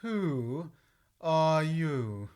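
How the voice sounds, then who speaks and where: A woman's voice: low voice, sing-song, drawn-out words, a little mellow, full character voice. A woman playing a languid, sleepy, curt and contrary caterpillar in a full-cast audiobook.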